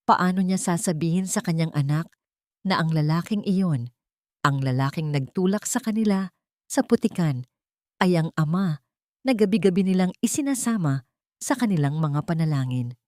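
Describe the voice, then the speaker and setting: A woman's voice: fairly low pitch, a little mellow, no echo, clean studio sound. A woman with a Filipino accent narrating a scripted dramatic story.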